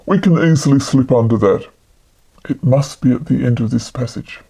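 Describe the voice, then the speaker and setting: A woman voicing a calm, commanding male magician in a storybook, speaking in a deliberate, reassuring way. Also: a bass voice, vocal fry, breathy edge, pitched below the performer's own voice, exaggerated voice. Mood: thoughtful.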